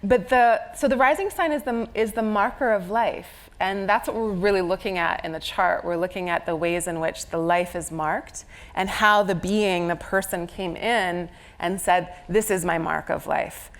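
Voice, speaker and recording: A woman's voice: fairly low pitch, normal speed. A woman with a slight head cold, speaking conversationally on stage as an astrologer explaining a chart reading. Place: on stage at a live venue.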